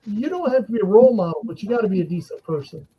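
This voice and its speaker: Male co-host, high and husky, casual storyteller. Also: racing, poor audio. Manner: animated anecdotes, laughs while telling stories.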